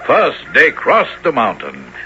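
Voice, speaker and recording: A man acting a proud, solemn African warrior and exiled king in a radio drama, with measured, declamatory, formal speech. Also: medium pitch, huge pitch swings, sharp tone, exaggerated voice. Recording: dull, noisy old radio broadcast.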